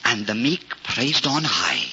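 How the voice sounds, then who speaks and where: An actor playing a humble, pious old shoemaker in a 1940s radio drama, earnest and halting, often repeating himself. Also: male voice, mid-range voice, sing-song, bright, forceful tone, exaggerated voice. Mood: fervent. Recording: noisy, muffled 1940s radio recording.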